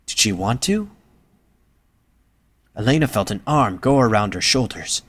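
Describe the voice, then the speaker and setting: A man's voice: fairly low pitch, animated, measured pace, fairly bright tone. A man reading scripted narration for an audio drama as its narrator.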